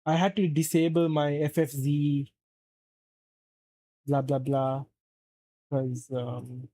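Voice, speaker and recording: A man's voice: medium pitch, little pitch movement, slow pace, mellow, dry recording. A man from Saudi Arabia casually chatting on a live stream, rambling and answering chat as he plays.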